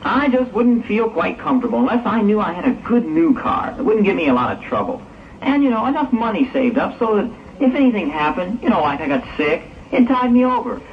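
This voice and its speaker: A man's voice: high-pitched, sing-song, a little fast. A man playing an eager, cautious young graduate in a 1960s audio drama, chatty and self-justifying. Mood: defensive. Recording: distant, muffled old hissy tape.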